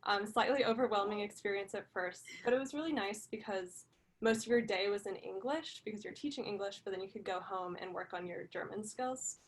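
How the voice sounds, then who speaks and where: Young female student panelist, mid-pitched, informal and explanatory, a bit hesitant. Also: a little fast. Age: young adult.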